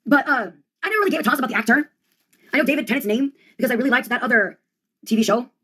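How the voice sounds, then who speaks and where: A young woman comedian giving a rambling monologue in a deadpan, ironic, slangy way. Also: high-pitched, very fast.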